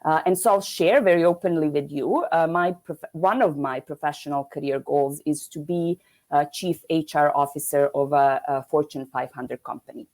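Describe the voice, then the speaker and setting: Keynote speaker: woman from Serbia, lowish voice, halting delivery full of fillers, heard over a video call. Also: darkish tone. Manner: hesitant, many fillers and restarts. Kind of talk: online conference keynote.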